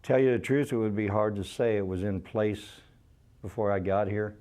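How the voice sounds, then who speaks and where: A man's voice: lowish voice, moderate pace, noisy background. A man with an American accent answering interview questions as a town mayor.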